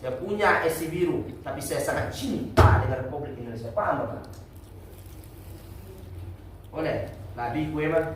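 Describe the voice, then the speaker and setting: A man preaching a lively mosque sermon, putting questions to the congregation and answering them himself. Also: fairly high pitch, normal speed, long pauses. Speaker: Islamic preacher. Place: mosque prayer room. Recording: echoey room, distant mic, faint noise.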